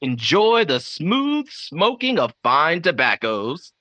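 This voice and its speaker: A man's voice: on the high side, big pitch swings, takes it slowly, sharp tone, dry recording. A man reading commercial copy emphatically in polished 1950s radio announcer style. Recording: dull lo-fi sound, dry.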